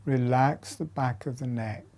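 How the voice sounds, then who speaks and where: A man leading a guided breathing meditation as an instructor, in short, measured phrases with long pauses. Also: medium pitch, darkish tone.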